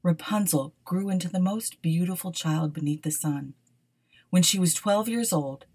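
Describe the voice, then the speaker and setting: A woman reading an old fairy tale aloud for a podcast, often dropping to a hushed whisper. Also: medium pitch, breathy edge. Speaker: podcast storyteller.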